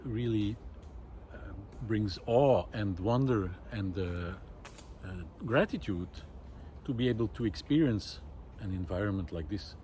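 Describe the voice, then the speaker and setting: A man's voice: on the low side, unhurried, mellow, slight background noise. A man giving an unscripted, reflective monologue outdoors in a wooded park, speaking in a thoughtful, hesitant way. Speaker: vlogger.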